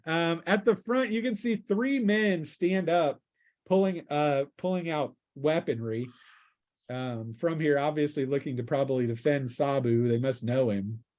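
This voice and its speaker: A man with a somewhat higher-pitched voice runs a tabletop game. He narrates casually, feeling his way through each scene as he describes it. His tone is that of a game master calling turns and outcomes. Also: measured pace, a little mellow.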